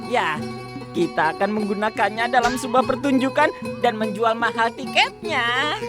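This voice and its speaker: An actor voicing a greedy, scheming showman in a cartoon, excitable and conniving. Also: mid-range voice, moderate pace, close to an everyday voice. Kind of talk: exaggerated cartoon dub. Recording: music behind the dialogue.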